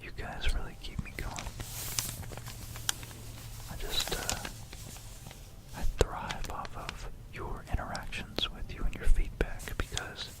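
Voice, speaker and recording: Whispering ASMR host, rambling gently. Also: takes it slowly, pauses often, low-quality recording. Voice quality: unvoiced, breathy whisper. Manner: rambling, hesitant, gentle bedtime delivery. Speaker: ASMR video creator and host.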